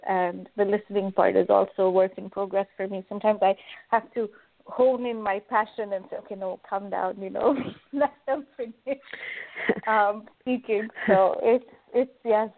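A woman from Kenya speaks as an interview guest, earnest and eager, filling her pauses with ums and uhs. Her voice sits at a middle pitch and comes through a thin, tinny phone line. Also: fairly even intonation, vocal fry. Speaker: guest, magazine editor and life coach. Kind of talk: conversational interview. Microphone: phone call.

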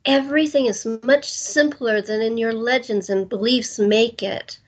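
A woman playing a calm, gently amused goddess of death in an audio fantasy drama, speaking deliberately and soothingly. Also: on the high side, husky. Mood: calm, reassuring.

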